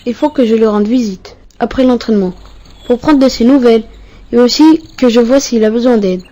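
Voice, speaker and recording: A woman acts a young cartoon boy with a fairly high, rounded, unsharp voice. He is polite and pious, sincere in everything he says and easily touched. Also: fairly quick, warm tone, muffled recording, natural voice. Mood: polite, caring. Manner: earnest, courteous. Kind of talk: didactic cartoon dialogue.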